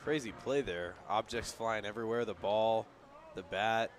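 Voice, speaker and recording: A man's voice: fairly low pitch, normal speed, slight background noise. A man giving conversational, analytical color commentary during a live baseball radio broadcast, explaining the plays as they happen. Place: outdoor ballpark broadcast position.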